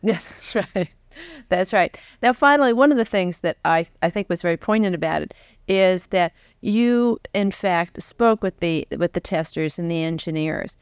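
An American woman in her forties interviewing onstage in a conversational, animated way, thinking aloud as she goes. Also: fairly low pitch, moderate pace, clean tone, dry recording. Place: stage before a live audience. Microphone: large foam-covered microphone on a stand close by.

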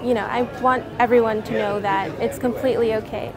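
A woman's voice: a little high, steady tone, brisk. Eager teenage girl in a noisy hall. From New Orleans, Louisiana. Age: teens. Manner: eager, chatty, many "you know" fillers. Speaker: science fair student. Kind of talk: casual interview answers. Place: busy convention hall. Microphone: lapel mic. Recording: crowd chatter behind.

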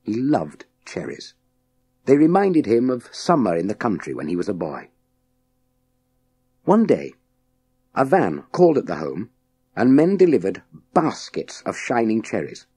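A man reads a story aloud in a low, mellow voice with a slightly husky edge. His delivery is measured and dramatic, very slow, with deliberate pauses between phrases. He sounds like a practised audiobook narrator telling children a tale. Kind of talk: scripted storytelling narration of a children's science fiction novel.

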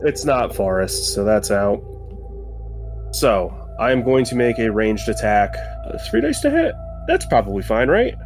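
A man's voice: on the low side, big pitch swings, unhurried. A man chatting casually as a player in a tabletop game, joking and swearing. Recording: background music under the voice.

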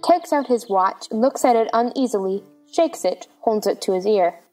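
A woman's voice: a little high, lively intonation, moderate pace, darkish tone, soft background music, low-quality recording. A woman reading scripted narration of stage directions for a play.

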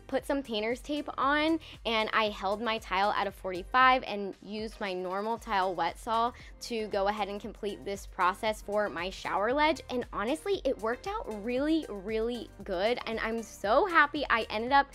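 A woman's voice: on the high side, lively intonation, moderate pace, hardly any pauses, a bit bright, soft background music. A young woman narrating her own renovation video in a voice-over, enthusiastic and explanatory about the project. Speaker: DIY renovation vlogger. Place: voice-over, not recorded in the pictured bathroom.

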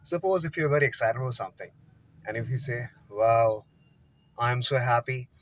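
A man's voice: medium pitch, brisk. A man in his thirties with an Indian accent, giving an emphatic, informal English lesson into a phone inside a car. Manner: emphatic, demonstrative, swinging between soft and loud. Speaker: English teacher. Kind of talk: informal video lesson to camera. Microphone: phone at arm's length. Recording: dull lo-fi phone audio.

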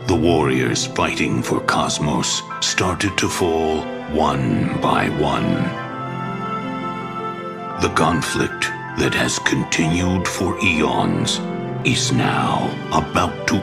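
Ominous game narrator: extremely deep, gravelly, epic and solemn, loud music behind. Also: male voice, full character voice. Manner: grave, deliberate. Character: solemn, ominous male storyteller of a fantasy war.

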